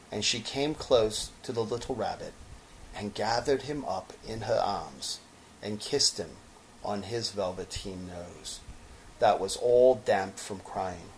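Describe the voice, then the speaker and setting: A man reading a children's story dramatically and expressively, lingering over short phrases with pauses. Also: lowish voice, slight background noise, poor audio. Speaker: storybook narrator, actor.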